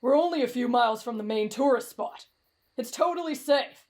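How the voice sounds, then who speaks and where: A woman's voice: fairly high pitch, moderate pace, a little mellow, natural voice. Woman playing a brash, reckless anime boy. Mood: eager, bold. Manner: cocky, blunt, dismissive. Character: brash, reckless, blunt teenage boy craving adventure. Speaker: voice actor in roleplay audio. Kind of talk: anime-style ASMR roleplay drama.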